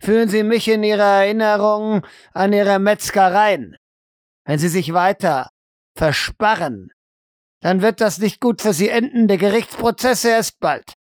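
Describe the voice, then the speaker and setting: A man acts out a harsh male doctor in a roleplay audio drama, grilling a prisoner. He speaks on the high side in a dry, close recording, formal and accusing, impatient for answers. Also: measured pace, no room sound. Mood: stern, threatening. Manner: accusing, demanding, formal address.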